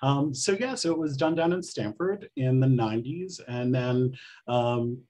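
A middle-aged American man from Connecticut with a husky voice, chatting casually as an interview guest on a video call. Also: mid-range voice, measured pace, a little mellow. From Connecticut, United States. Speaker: guest playwright.

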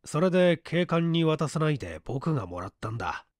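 A man playing an easygoing, animated detective. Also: a little high, normal speed, no room sound, clean studio sound, pitched above the performer's own voice. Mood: matter-of-fact. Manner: nonchalant, rambling, wry. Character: easygoing, whimsical male detective, dryly evasive. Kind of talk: Japanese audiobook character acting.

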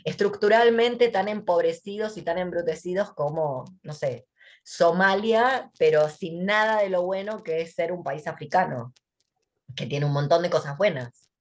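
An Argentine woman speaking casually as a guest, blunt, sarcastic and emphatic, over a headset on a video call from a dim room. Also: on the low side. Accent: Argentine Spanish. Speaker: guest, writer and thinker.

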